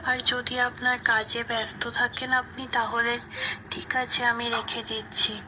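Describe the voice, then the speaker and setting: A woman with a slightly high voice chats flirtatiously and coyly on a phone call. Her delivery stays very even in pitch, with clearly audible noise on the recording. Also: a little slow. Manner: coy, flirty. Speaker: prank caller.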